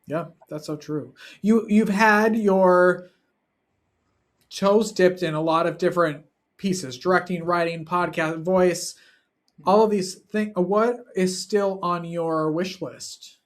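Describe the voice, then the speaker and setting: Casting: male podcast host, forties, notably high-pitched voice, conversational interviewing. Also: fairly even intonation, slow pace. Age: 40s. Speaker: talk show host, interviewer.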